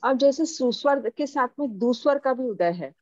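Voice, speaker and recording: High-voiced woman student asking questions. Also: expressive, moderate pace, a little mellow, no room sound, highs cut off. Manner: inquisitive, asks follow-up questions politely.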